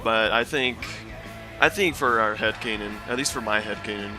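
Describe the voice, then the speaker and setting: A man's voice: fairly low pitch, moderate pace, music in the background. A man hosting a casual commentary recap, talking in a rambling, hesitant and self-deprecating way. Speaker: video host and reviewer.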